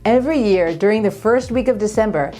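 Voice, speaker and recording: A woman's voice: mid-range voice, fairly quick, mellow, faint music behind. A woman in her thirties with an American accent, telling personal stories in an upbeat, friendly way as a vlogger. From Japan, raised by American parents. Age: 30s. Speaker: vlogger, channel host. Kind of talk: personal storytelling vlog.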